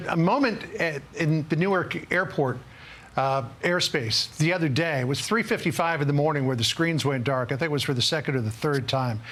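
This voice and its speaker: A man's voice: fairly high pitch, fairly bright tone. A man working as a news anchor, interviewing a guest in an emphatic broadcast style that repeats words for stress.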